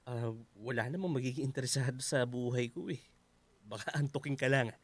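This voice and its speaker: A man acting as a polite, secretive houseguest with a Filipino accent, speaking haltingly in a radio drama. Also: medium pitch, natural voice. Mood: evasive, reluctant. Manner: hesitant, halting, humble.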